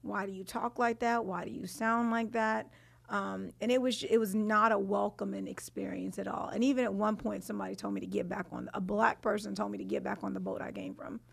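Gravelly Trinidadian-Brooklyn woman in her thirties. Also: medium pitch, a little fast. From Brooklyn, New York. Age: 30s. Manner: storytelling, animated and candid. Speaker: guest. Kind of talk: casual chat.

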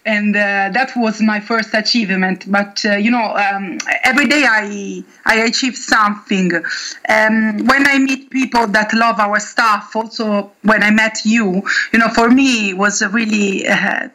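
A young woman with an Italian accent, answering interview questions haltingly, with many pauses and restarts. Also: medium pitch, fairly even intonation, pushed and bright, poor audio. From Italy. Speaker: metal band singer.

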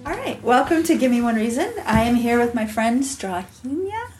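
A woman's voice: on the high side, sing-song, moderate pace, darkish tone, soft background music. A woman hosting a podcast in a casual, conversational interview style, with a chatty, encouraging manner. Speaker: podcast host.

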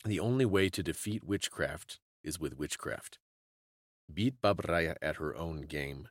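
Man reading as a stern, low-voiced bearded uncle. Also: lively intonation, a little slow, no echo, clean studio sound, full character voice. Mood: insistent. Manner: firm, insistent. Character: stern gray-bearded uncle, worldly expert in curses.

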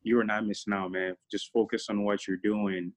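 A man in his thirties, a guest in a casual interview, speaking in a relaxed, reflective way with many fillers. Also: on the low side, moderate pace.

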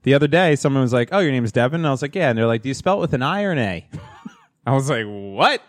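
A man's voice: mid-range voice, animated, rapid-fire, no echo. A man in his late thirties co-hosting a podcast, chatting casually with sarcastic wisecracks. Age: 30s. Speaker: podcast co-host. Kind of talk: casual comedic chat.

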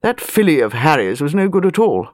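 A woman's voice: low-pitched, big pitch swings, a little fast, darkish tone, no room sound, clean studio sound. A woman voicing a soldierly male English colonel in an audiobook, terse and clipped. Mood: terse, grumbling.

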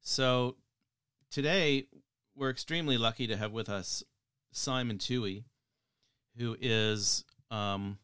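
A man hosting a podcast interview, speaking in an earnest, measured way with frequent pauses and ums. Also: on the low side, a bit bright, clear, resonant tone, no echo, clean studio sound. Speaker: podcast host.

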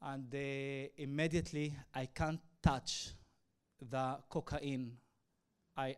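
Arabic-speaking guy, halting english, super slow, clean clear voice. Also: medium pitch. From Israel. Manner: hesitant, halting non-native English with many fillers. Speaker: evangelist. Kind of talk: personal testimony.

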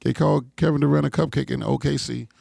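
A man with an American accent and a gravelly, husky voice, hosting a casual podcast with blunt, profane street slang. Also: mid-range voice, steady tone, fast talker, mellow, no echo, clean studio sound. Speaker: podcast host.

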